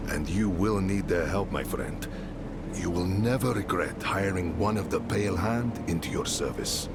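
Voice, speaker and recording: An actor playing a weathered, warm-hearted mercenary smith and father in a fantasy game, speaking in a measured, familiar way with a gravelly voice. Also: sits low. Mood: persuasive. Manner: measured, familiar, addresses listener as friend. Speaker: mercenary leader character. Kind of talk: fantasy game dialogue acting.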